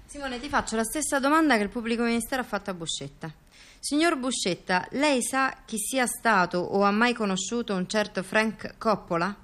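A woman acting as a sober intellectual, reciting testimony and letters in a formal, deliberate documentary theatre style. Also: a little high, a bit bright, natural voice. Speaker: stage actress reading testimony and letters.

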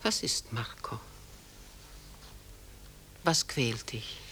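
An older actress playing a genteel, proud old mother who clings to the past, in a measured, dignified radio play style with a husky voice. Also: a bass voice, lively intonation, sharp tone, slight background noise, heavily acted. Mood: reproachful, worried. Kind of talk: German radio play acting.

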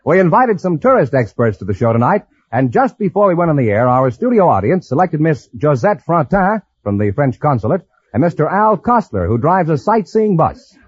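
A man announces a radio quiz in scripted broadcast style. His voice is fairly high and very animated, with the dull sound of an old recording. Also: normal speed, slightly rough.

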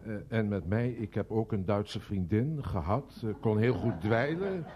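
An actor playing an odd, deadpan man in a radio play, speaking hesitantly. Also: on the low side, unhurried, dull audio, slight background noise.

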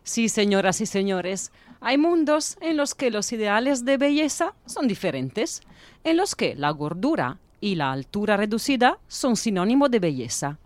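A woman's voice: medium pitch, big pitch swings, moderate pace. A woman with a mid-range voice serves as narrator and presenter. She speaks expressively, addressing her listeners as she goes.

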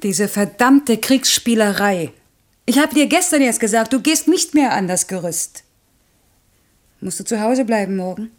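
A middle-aged woman playing a harried, strict working mother in a naturalistic German radio play, with brisk, clipped delivery. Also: on the high side, lively intonation. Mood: alarmed.

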